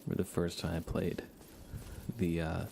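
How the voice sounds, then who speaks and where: A man giving soft-spoken, relaxed and unhurried ASMR commentary while he plays a video game live. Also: deep voice, vocal fry, slight background noise. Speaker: livestreamer playing a video game.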